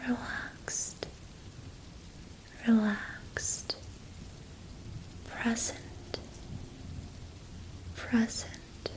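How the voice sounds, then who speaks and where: A young woman whispering a gentle ASMR reiki relaxation in soft, slow, repetitive phrases. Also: fairly high pitch, little pitch movement, poor audio. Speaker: ASMR creator.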